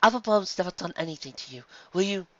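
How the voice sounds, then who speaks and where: A man's voice: high-pitched, lively intonation, fast talker, pushed and bright, no echo, muffled recording, voice pushed up. A man voicing an earnest, determined young farmer mare in a story, with a pleading, insistent manner.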